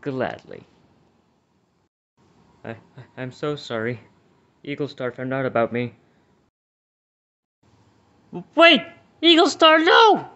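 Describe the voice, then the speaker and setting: A man's voice: mid-range voice, sing-song, slow pace, long pauses, sharp tone, full character voice. A man voicing a pleading cat antagonist in a fan comic dub. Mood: anguished. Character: pleading male cat antagonist, cartoon warrior. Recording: dull lo-fi microphone.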